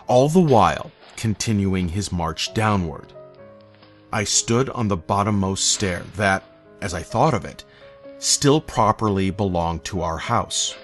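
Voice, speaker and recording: A man reading a scripted horror story as narrator, in a measured, literary manner. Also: fairly low pitch. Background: faint eerie music.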